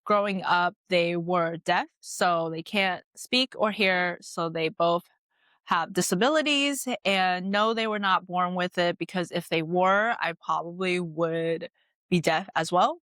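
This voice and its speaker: A woman in her late twenties, born and raised in Taiwan, talks through her past in a loose, chatty podcast voice, leaning on "like" as she reflects. Her voice sits a little low for a woman. The recording is dry and close, with no room sound. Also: unhurried, a bit bright, no room sound. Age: late 20s. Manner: chatty, frequent "like" fillers, reflective. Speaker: podcast host. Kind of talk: casual personal storytelling.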